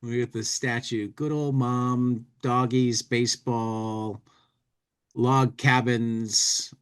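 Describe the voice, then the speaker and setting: A man's voice: mid-range voice, little pitch movement, slow, fairly bright tone, clean tone. A man hosting a podcast, chatting casually and reading comic lines aloud in a playful, dramatic way. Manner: acts out speech-bubble lines playfully. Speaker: podcast host reading an old humor magazine aloud.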